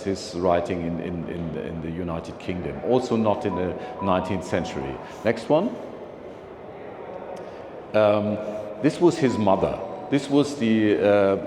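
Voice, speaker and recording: Man presenting slides to a society meeting, halting, full of fillers and restarts, somewhat low-pitched, recorded in a big echoey hall. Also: background noise. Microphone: handheld or lapel mic.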